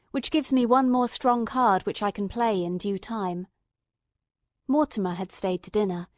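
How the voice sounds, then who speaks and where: A woman narrating a dramatized mystery story in a measured, literary storytelling manner. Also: mid-range voice, no room sound, clean studio sound. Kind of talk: dramatized audiobook narration, reading dialogue in character.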